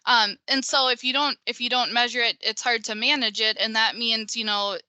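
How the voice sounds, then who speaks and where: A woman in her thirties with a Minnesota accent talks through a webinar over a video call. Her voice is very bright and crisp with a dry, close sound. She speaks in an even, flat tone, full of ums and restarts. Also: a little high, moderate pace, dry recording. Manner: conversational, many fillers and restarts. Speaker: pavement engineer presenter. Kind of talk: webinar presentation.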